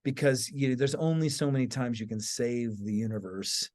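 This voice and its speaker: A man's voice: medium pitch, normal speed, a little mellow. A middle-aged man co-hosting a podcast in casual conversation, informally thinking aloud with frequent asides. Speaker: podcast co-host.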